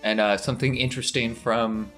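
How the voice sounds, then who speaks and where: A man's voice: mid-range voice, fairly even intonation, a little fast, fairly bright tone, soft background music. A man hosting and narrating a tabletop role-playing podcast as game master.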